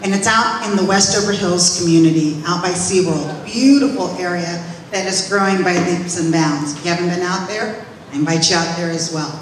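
A woman who owns restaurants tells her family story to an audience on stage, speaking loudly into a handheld microphone. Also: on the low side, normal speed. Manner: loud, lively storyteller. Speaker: restaurant owner speaking at event. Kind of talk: personal story speech to audience. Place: large reverberant event hall on stage. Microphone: handheld microphone, far camera. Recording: echoey hall from far off.

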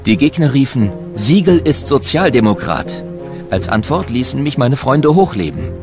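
An actor playing a miner and political activist, telling his memories in measured, literary sentences for a documentary radio play. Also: medium pitch, big pitch swings, over music. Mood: recounting. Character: German working-class miner and activist, recounting his life. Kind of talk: first-person memoir reading in a documentary radio play.

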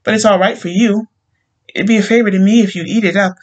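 A man voicing a grieving young wife who is sweetly persuasive, soft and overly polite. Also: sits high, fairly even intonation, fast talker, highs cut off, pitched above the performer's own voice. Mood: pleading.

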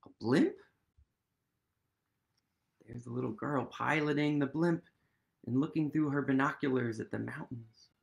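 A man in his thirties reading a picture book aloud to young children, gently and expressively, with pauses and questions. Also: mid-range voice, vocal fry. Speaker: storytime reader.

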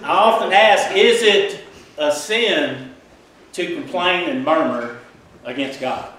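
A middle-aged man, a pastor preaching a folksy, animated sermon in a small church. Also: a little high, slow pace, a little hiss or hum. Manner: folksy, animated, gesturing widely while preaching. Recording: echoey church room.

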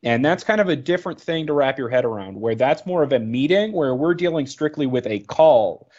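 A man with a medium-pitched voice talks through a training presentation. He is informal and explanatory, thinking aloud as he goes. The recording is a little dull and lo-fi. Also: animated, brisk, darkish tone. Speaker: product trainer. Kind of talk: informal slide presentation narration.

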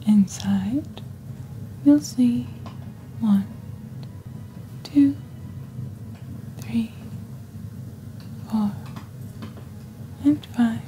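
A young woman playing a composed witch who presents a shopping channel in soft, breathy, half-whispered ASMR. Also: fairly high pitch, fairly even intonation, rough recording. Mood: composed, mysterious. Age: young adult. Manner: slow, deliberate, measured. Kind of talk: ASMR roleplay, scripted product presentation.

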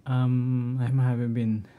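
A middle-aged man with a Filipino accent, talking through his home network setup in a halting way, often clearing his throat. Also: medium pitch, phone-quality sound. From Philippines. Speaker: tech vlogger. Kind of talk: casual screen-share walkthrough.

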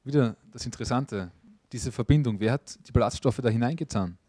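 A man's voice: mid-range voice, a little fast, mellow, no echo. Man interpreting a cooking class. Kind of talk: consecutive interpreting into German.

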